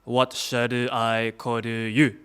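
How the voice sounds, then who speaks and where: An actor playing a leering Japanese army colonel in an audio drama, speaking halting, insinuating English with a Japanese accent. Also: male voice, mid-range voice, monotone, a bit bright, smooth. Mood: smug, ingratiating.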